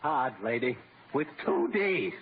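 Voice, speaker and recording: A man's voice: medium pitch, expressive, slow. An actor playing a flustered, stammering, exasperated everyman who keeps protesting, in old-time radio comedy style. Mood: fed up. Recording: muffled old radio broadcast, audience noise.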